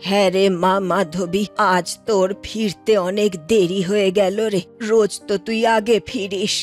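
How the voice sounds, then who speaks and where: A woman's voice: mid-range voice, darkish tone, voice pushed down, lightly acted. A woman voicing a motherly middle-aged egg seller, fretful and affectionate, in a cartoon story. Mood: caring, fretful. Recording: music behind the voice.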